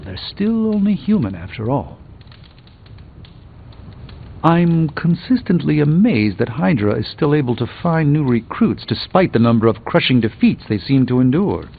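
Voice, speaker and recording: An actor playing a proud, learned middle-aged sorcerer superhero in a video game, with formal, pompous and erudite phrasing. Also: male voice, mid-range voice, gravelly, phone-quality sound.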